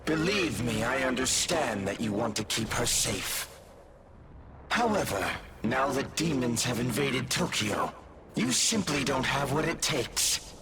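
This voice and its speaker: A man voicing a stern, regal deity in a video game, formal, measured and condescending. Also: mid-range voice, lively intonation, a bit bright, a little hiss or hum. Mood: haughty. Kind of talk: anime-style video game voice acting.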